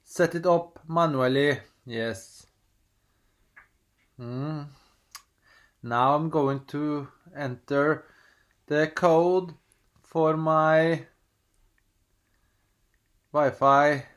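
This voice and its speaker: A man's voice: a little high, fairly even intonation, drawn-out words, pauses often, a bit bright. A man from Norway with a Norwegian accent, chatting casually at home while unboxing, rambling and hesitant with many restarts, reading screen prompts aloud. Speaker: vlogger.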